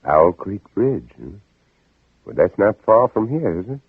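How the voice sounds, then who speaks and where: An actor playing an anguished Southern gentleman planter in theatrical, dramatic old-time radio style, sometimes narrating his own ordeal. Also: on the low side, big pitch swings, measured pace, husky, dry recording, exaggerated voice. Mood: courteous, probing. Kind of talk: 1940s-50s radio drama acting. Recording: old radio, dull narrow band, music behind.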